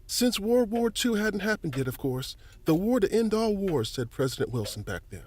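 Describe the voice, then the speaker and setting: A man's voice: high-pitched, very animated, moderate pace, darkish tone, a little hiss or hum. A man playing a friendly, folksy middle-aged museum volunteer in a family audio drama, chatty and fond of explaining things. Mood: musing, explanatory. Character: friendly, folksy older male museum volunteer and newspaper editor.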